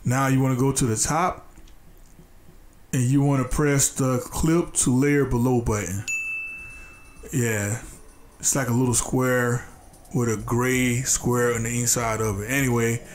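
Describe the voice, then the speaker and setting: A man's voice: medium pitch, steady tone, unhurried, long pauses, a little hiss or hum. A congested man with a nasal voice hosts a casual drawing tutorial, talking loosely with restarts and fillers. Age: adult, a father. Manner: loose and repetitive, restarting phrases, many fillers. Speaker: art tutorial host.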